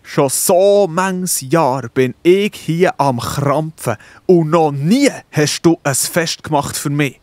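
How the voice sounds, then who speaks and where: A man's voice: a little high, slow, darkish tone, dry recording. A man playing a jealous, indignant older farm son in a Swiss German children's Bible radio play. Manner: indignant, complaining.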